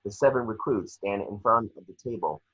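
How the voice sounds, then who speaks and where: Low, husky man reading screenplay directions. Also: a little fast, a bit bright, highs cut off. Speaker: reader of action descriptions.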